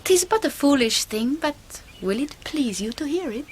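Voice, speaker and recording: An actress playing a gentle, devoted young gentlewoman in a period radio drama, speaking softly and breathily. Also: high-pitched, lively intonation, normal speed, slight background noise. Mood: tender, concerned.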